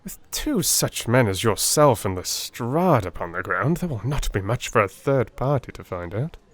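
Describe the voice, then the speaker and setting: Man acting Victorian detective, sardonic, brisk, commanding, lively swoops, audiobook drama. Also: medium pitch, no echo, close to an everyday voice. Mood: dry, mocking.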